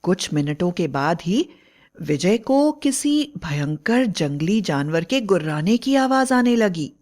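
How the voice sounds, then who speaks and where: A woman's voice: on the low side, big pitch swings, takes it slowly, darkish tone. A woman narrating a scripted bedtime story as a storyteller.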